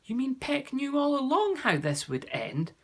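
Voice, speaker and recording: A man's voice: very high voice, wildly swooping pitch, moderate pace, pitched above the performer's own voice, heavily acted. A man voicing a polite, curious young boy hero in a storybook, in a slightly raised voice. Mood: earnest. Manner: earnest and polite.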